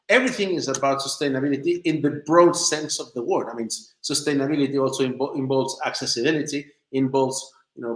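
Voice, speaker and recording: Echoey man, searching for words. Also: medium pitch. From Chile and southern Spain. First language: Spanish. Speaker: interview guest, documentary maker. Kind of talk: informal conversational interview answers. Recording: roomy, echoing sound.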